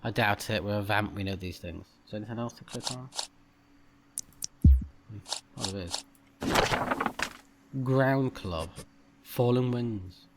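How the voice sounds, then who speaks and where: A man's voice: lowish voice, moderate pace, long pauses, a little hiss or hum. A man hosting a let's play, mixing playful, reactive commentary with reading the game's text aloud.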